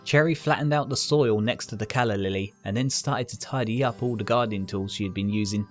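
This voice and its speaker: Male story narrator, fairly low, scripted reading over quiet guitar. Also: brisk.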